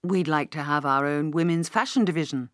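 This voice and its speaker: A woman with a British accent voicing a courteous older male business magnate in an audiobook, measured, gracious and formal. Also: sits low, dry recording, clean studio sound, lightly acted. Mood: measured, businesslike.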